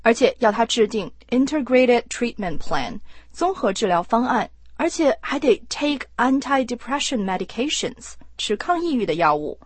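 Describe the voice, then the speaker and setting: A woman's voice: on the high side, very animated, moderate pace, breathy edge, no echo. A woman hosting a language lesson, chatting and switching between two languages. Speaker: host. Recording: dry studio sound.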